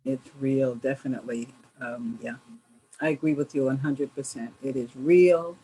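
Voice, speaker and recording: An American woman giving measured, deliberate answers as a guest in a casual interview. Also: rumbling low, warm tone, breathy edge, rough recording. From New York. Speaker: stage play cast member as guest.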